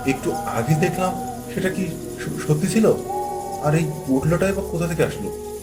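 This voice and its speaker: A man's voice: fairly high pitch, lively intonation, moderate pace, close to an everyday voice. A man voicing a panicky, frightened young villager in a horror cartoon, stammering and repeating his words. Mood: shaken, bewildered. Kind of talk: animated horror story acting. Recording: music behind the voice.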